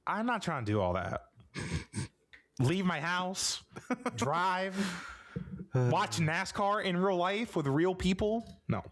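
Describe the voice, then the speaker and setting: A man co-hosting a podcast, talking in a relaxed, teasing way. His voice sits a little high and swings widely in pitch, full of playful jokes. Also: slow, pauses often. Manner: playful, joking, laughs often. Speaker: podcast co-host. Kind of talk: casual chat.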